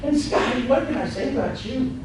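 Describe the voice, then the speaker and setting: A man's voice: extremely high pitch, lively intonation, moderate pace, very noisy, voice pushed up. A man playing an ancient, fussy male angel who rambles and giggles while teasing a crowd, recorded from far off in an echoey event room.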